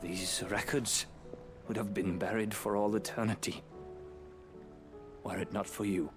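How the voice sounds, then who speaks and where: A grieving male survivor, acted by a man in a video game cutscene. His voice sits on the low side and moves very slowly, with formal, solemn gravity. Music plays clearly behind him. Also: steady tone, lightly acted. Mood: grateful, resolute. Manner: solemn, formal, measured speech. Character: grieving, earnest man who survived a cruel orphanage. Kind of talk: dramatic video game acting.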